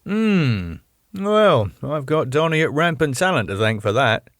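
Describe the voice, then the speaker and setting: An eager young British band manager, as a man reads him, persuasive and earnest. His pitch bounces about lively, and the recording is dry and close. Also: a little slow, dry recording, full character voice.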